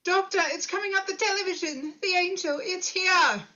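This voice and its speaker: A woman's voice: very high voice, normal speed, pushed and bright, dull audio. A woman playing a terrified young woman trapped with a monster, pleading frantically in a script read. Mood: panicked.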